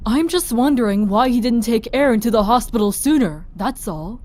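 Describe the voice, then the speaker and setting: Casting: actress as female apocalypse medic, fairly high voice, concerned, blunt, firm, audio drama delivery. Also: lively intonation, normal speed, a little mellow, natural voice. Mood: blunt, exasperated. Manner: questioning, frank, firm.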